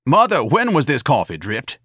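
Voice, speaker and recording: Audiobook role: pompous, disdainful adult son, over-formal, swooping intonation, close dry sound. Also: male voice, mid-range voice, sing-song, normal speed, fairly bright tone, no echo, pitched above the performer's own voice. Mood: haughty indignation. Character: pompous, indignant grown son, theatrically disdainful.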